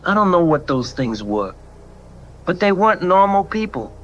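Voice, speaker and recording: An actor playing a shaken coast guard captain who gives a hesitant, halting statement. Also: male voice, sits high, very animated, fairly bright tone, muffled recording. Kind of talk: audio drama testimony.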